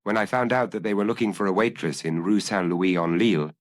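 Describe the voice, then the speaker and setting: A man's voice: deep voice, fairly quick, no room sound, studio quality, natural voice. A man voicing a guarded young Parisian waitress who gives short, matter-of-fact answers in an audiobook. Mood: guarded, plain. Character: young working-class Parisian waitress, plain-spoken and guarded.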